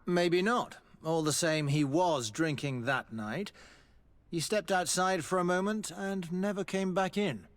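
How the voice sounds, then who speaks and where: An actor playing an evasive, formal army doctor in a video game, hesitant and deferential. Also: male voice, high voice, unhurried. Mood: formal, defensive. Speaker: military doctor. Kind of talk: dramatic video game acting.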